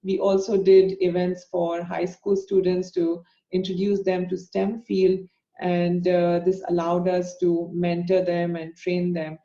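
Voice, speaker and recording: A woman presenting to a panel in a flat, gravelly voice with a mellow tone. She pauses often and hesitates as she speaks. The sound is roomy and a little dull. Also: on the low side. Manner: hesitant, many filler pauses. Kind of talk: presentation talk.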